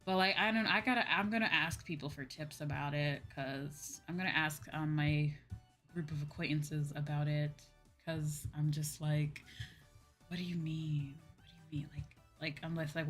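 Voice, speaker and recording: Female streamer, lowish voice, informal rambling chat over clear background music. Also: a bit bright. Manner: informal, conversational, frequent "like" and "you know". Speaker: VTuber livestream host. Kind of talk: casual livestream chat with viewers.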